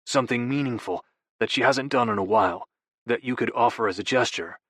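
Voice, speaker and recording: A gentle, diplomatic brother who explains things in a calm, measured way, as a male narrator voices him. He stays close to the reader's natural voice. The sound is dry and close. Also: medium pitch, dry recording, lightly acted.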